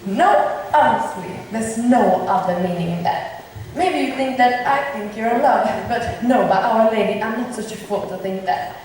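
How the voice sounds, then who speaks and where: A woman's voice: on the high side, normal speed, darkish tone, close to an everyday voice. Woman as cheeky maid, very echoey stage. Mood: mischievous. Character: cheeky, teasing, outspoken lady's maid. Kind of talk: amateur stage acting of Shakespeare comedy. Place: amateur stage performance in a reverberant room. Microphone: distant recorder. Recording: very echoey hall, distant mic, faint noise.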